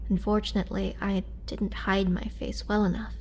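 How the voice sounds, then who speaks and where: Woman acting as a wistful, halting young-looking vampire. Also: mid-range voice, little pitch movement, darkish tone, slight background noise, natural voice. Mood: somber. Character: youthful-looking centuries-old female vampire, imprisoned, sorrowful, gentle. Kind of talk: dramatic first-person roleplay storytelling.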